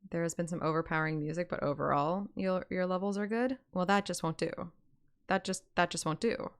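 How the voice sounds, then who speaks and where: A woman's voice: on the low side, a little fast, clear, resonant tone. A woman streaming a story game, moving between playful, self-mocking chat and dramatic read-aloud narration. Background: game music.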